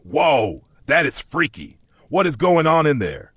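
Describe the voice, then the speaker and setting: A man playing a weary, doubtful corporate worker in a dark fantasy audio story, plain-spoken and skeptical. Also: on the high side, very animated, measured pace, husky, no echo, voice pushed up, exaggerated voice. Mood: startled.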